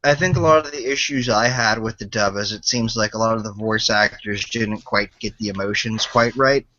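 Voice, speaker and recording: A man's voice: fairly low pitch, normal speed, sharp tone, dry recording. A young American man chatting on a podcast, hedging his points with lots of "like". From United States. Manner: hedging, full of "like". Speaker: podcast co-host. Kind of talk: casual chat. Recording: dry, dull lo-fi podcast audio.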